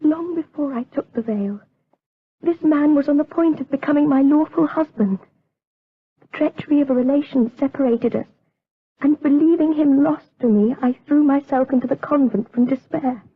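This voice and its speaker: An actress playing an impassioned young nun in a gothic radio drama, intense, theatrical and desperate. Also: female voice, sits high. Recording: dull, lo-fi old radio drama.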